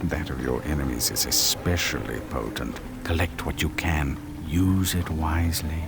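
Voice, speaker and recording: A man voicing a sly, mangy cat in a video game, a cryptic guide who talks in measured, condescending aphorisms. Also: rumbling low, vocal fry, loud music behind the voice. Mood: cryptic, advising. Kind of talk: video game character acting.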